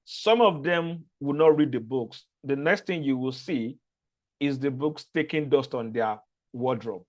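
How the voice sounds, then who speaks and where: A man's voice: medium pitch, a little slow. A man from Nigeria with a Nigerian accent, English not his first language, giving an earnest onboarding talk to a fellowship cohort with frequent restarts. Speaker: fellowship organizer.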